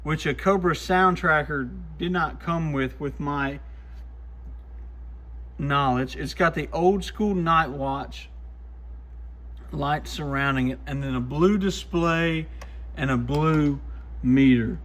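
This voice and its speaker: A man's voice: a little high, slow, long pauses, fairly bright tone. A man giving casual hands-on testing commentary as a host, plainspoken and full of folksy slang. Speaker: host, CB radio shop technician testing used radios.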